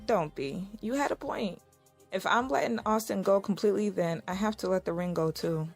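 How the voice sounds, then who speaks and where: A woman's voice: medium pitch, normal speed, lightly acted. Actress as a caring young woman, music behind. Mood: caring, apologetic. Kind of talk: audio drama dialogue.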